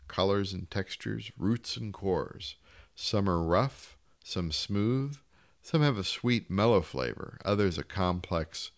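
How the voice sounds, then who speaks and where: Very low-voiced man reading fiction. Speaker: short story reader. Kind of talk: scripted literary narration, first-person short story.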